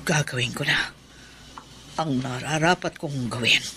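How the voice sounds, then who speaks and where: A man's voice: mid-range voice, unhurried, sharp tone. An actor playing a vengeful old widowed woman who is a sorceress, with a menacing, threatening manner, on a noisy radio drama track with buzzing bees behind. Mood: sinister. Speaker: villain in a radio horror drama.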